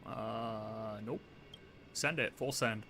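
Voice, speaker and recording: A man livestreaming a game, talking casually and thinking out loud in slangy, sometimes profane speech. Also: on the low side, very slow, slight background noise. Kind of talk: casual game playthrough commentary.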